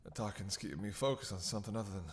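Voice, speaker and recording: A man playing a starving captive vampire in a roleplay audio drama, sardonic and menacing, speaking haltingly with teasing bite. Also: on the low side, close to an everyday voice. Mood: strained, hungry. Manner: sardonic, halting, breathy sighs between phrases.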